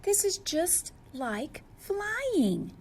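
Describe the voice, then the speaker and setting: A woman's voice: very high-pitched, huge pitch swings, very slow, slight background noise, pitched above the performer's own voice, full character voice. A woman voicing an eager, dramatic young male penguin in a children's storybook, exclaiming and drawing out words. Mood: amazed. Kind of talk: children's storybook read-aloud.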